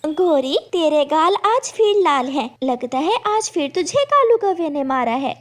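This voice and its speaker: A woman's voice: sits high, very animated, a little slow, pitched above the performer's own voice. A woman voicing a sympathetic female bird friend in a cartoon story, concerned and advising. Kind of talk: Hindi cartoon story acting.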